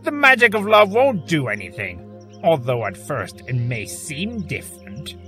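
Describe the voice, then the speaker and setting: A man's voice: fairly high pitch, wildly swooping pitch, measured pace, over music. A man playing a bitter, self-loathing creature of chaos in an audio drama, theatrical and volatile. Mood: anguished, bitter. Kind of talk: fan audio drama acting.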